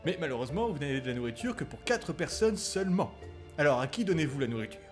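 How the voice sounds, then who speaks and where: A man plays a pompous, middle-aged husband in a comic dub, smug and vulgar and quick to get flustered. His voice sits a little on the high side, with music running beneath. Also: very animated. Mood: exasperated. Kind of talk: comedy parody dub.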